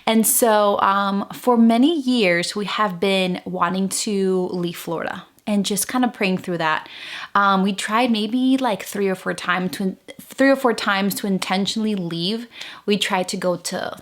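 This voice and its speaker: A woman's voice: mid-range voice, measured pace. A woman in her thirties from Venezuela talks casually to her viewers, chatty and full of fillers and restarts. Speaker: vlogger. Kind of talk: casual chat to camera.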